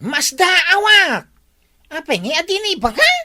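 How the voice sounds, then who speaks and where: A chatty, excitable village woman from a radio comedy,. Her voice is very high and bright, and it swoops all over as she exclaims. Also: unhurried, full character voice. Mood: puzzled. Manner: exclaiming, sing-song. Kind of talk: radio comedy drama acting.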